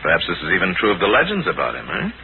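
An actor playing a cool, urbane crime-fighting detective, measured and courteous with authority, in a 1940s radio drama. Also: male voice, low voice, wildly swooping pitch, gravelly, heavily acted. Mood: probing. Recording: tinny old radio recording, faint noise.

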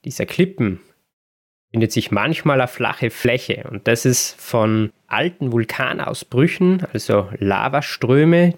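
A man hosts a podcast, recounting his travels in a relaxed, chatty way. His voice sits at an ordinary middle pitch, and he pauses now and then with small hesitations. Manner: relaxed storytelling with occasional laughs and hesitations. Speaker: podcast host. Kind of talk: casual podcast chat.

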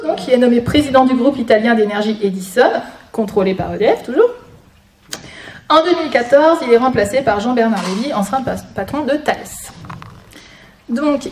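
A woman's voice: a little high, animated, brisk, a little mellow. A woman giving an informal public lecture as a guest speaker, with wry, sarcastic asides. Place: conference room before an audience. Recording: echoey room, distant mic, noisy.

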